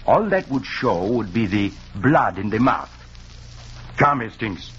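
An actor playing a shrewd, courtly Belgian detective with a French-sounding accent, in emphatic 1940s radio style. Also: male voice, fairly low pitch, expressive, a little slow, a bit bright. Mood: excited. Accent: French-speaking foreign accent, put on. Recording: muffled, noisy 1940s radio recording.

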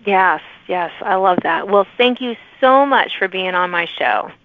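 A woman podcast host speaks in a casual interview style at a middle pitch. The recording is dry and slightly dull, like a radio. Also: expressive, measured pace, no room sound.

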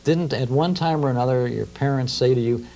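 A man's voice: mid-range voice, fairly quick, dark tone. A middle-aged American man talking in conversation as an interview guest, explaining things with enthusiasm. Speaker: guest, radio historian. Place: small TV studio. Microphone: lapel mic.

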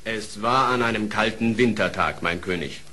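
An actor playing an accusing wolf vassal in a fairy-tale audio play, telling things in a formal, plaintive way. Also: male voice, fairly low pitch, moderate pace, sharp tone. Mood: aggrieved. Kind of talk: German fairy-tale radio play acting.

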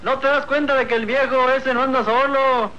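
A man playing an excitable, wily, timid rancher sidekick in a radio serial, with folksy northern Mexican talk. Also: very high voice, normal speed. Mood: scolding. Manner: folksy, drawling rustic talk. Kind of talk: old radio serial acting. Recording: dull, noisy old radio recording.

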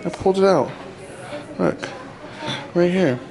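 A man's voice: high-pitched, unhurried, gravelly, a little mellow, low-quality recording. Playful young man vlogging at home. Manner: playful, teasing, loose and jokey. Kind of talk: casual home vlog chat. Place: small apartment indoors. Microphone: handheld camera at arm's length.

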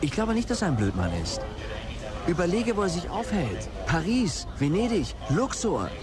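An actor playing an eccentric visionary game creator in a German audio drama, musing in lofty, literary phrases. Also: male voice, high voice, big pitch swings, moderate pace, music in the background. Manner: pronouncing, philosophical, literary.

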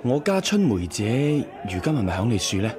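An actor in a radio drama playing a lovelorn young son-in-law, sighing and pleading. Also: male voice, medium pitch, very animated, normal speed, a crowd in the background, close to an everyday voice. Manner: sighing, entreating. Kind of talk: Cantonese radio drama acting.

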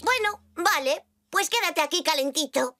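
Little zebra boy, very high voice, lively swings, eager and curious, Spain accent, cartoon dub. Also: moderate pace, over music. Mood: playful, eager. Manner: eager, exclamatory. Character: curious, excited five-year-old zebra boy. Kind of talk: animated children's series dub.

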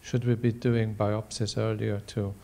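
Mellow, deliberate man in his sixties. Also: fairly low pitch, level tone, gravelly. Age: 60s. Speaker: panel member, ocular oncologist. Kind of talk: measured panel discussion answer. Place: large auditorium stage. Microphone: handheld microphone.

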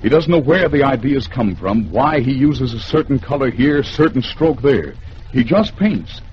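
A man with an American accent narrating a suspenseful 1950s radio drama in theatrical, measured phrases. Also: medium pitch, very animated. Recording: noisy, dull vintage radio recording.

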